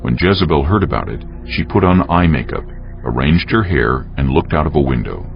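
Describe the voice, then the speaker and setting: A man giving solemn, measured, scripted dramatic narration of scripture as a storyteller. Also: very deep voice, lively intonation, gravelly. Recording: dull audio with music underneath.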